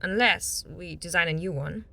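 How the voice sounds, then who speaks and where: A woman's voice: lowish voice, moderate pace, vocal fry, bright, forceful tone, heavily acted. A woman playing a worried, practical nun who works as an engineer, tentative and earnest, in an audio drama. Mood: practical, doubtful.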